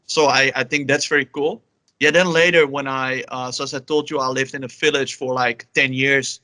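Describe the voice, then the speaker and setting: Dutch guy, young adult, loose chatty interview answers full of fillers, bright tone, dry close sound. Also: medium pitch, steady tone, normal speed, dry recording. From Netherlands. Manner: rambling, many fillers like "you know" and "like". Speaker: guest, language learner.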